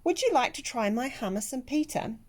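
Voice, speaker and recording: Lady doing a proud little storybook schoolgirl, feisty, pitch jumps up high. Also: animated, a little fast, a little mellow. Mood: cheerful. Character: young schoolgirl Selma, proud, quick to retort.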